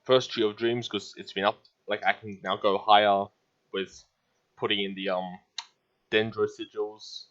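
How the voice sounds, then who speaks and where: A woman's voice: very deep voice, little pitch movement, a little slow, a bit bright. A woman giving casual, offhand gameplay commentary with short reactions, on a dull-sounding microphone. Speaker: gamer commenting on a playthrough.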